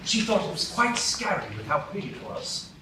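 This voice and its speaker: A man narrating a storybook tale in a live radio play, with a gentle storytelling manner. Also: high voice, sing-song, moderate pace. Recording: roomy echo from far off, light noise.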